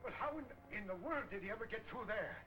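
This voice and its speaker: An actor playing an excitable tough man hunting a masked vigilante in a 1940s film serial, with colorful exclamatory talk. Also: high voice, fast talker, low-quality recording. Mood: alarmed. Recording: old film soundtrack with loud music.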